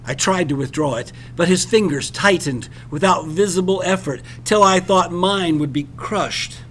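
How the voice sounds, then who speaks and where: High-voiced man reading a story dramatically. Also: moderate pace, fairly bright tone, slight background noise, low-quality recording. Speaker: reader of a literary passage. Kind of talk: expressive scripted audiobook-style narration.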